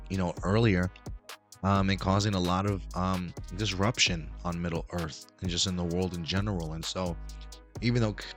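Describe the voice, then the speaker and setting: A hoarse man hosting a casual show review, rambling with many restarts while recovering his voice. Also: low voice. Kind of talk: casual review talk to camera.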